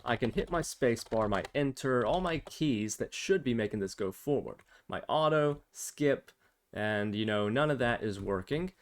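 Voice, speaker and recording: A man's voice: mid-range voice, animated, moderate pace. A man presenting a casual step-by-step programming tutorial, explaining and thinking aloud as he demonstrates.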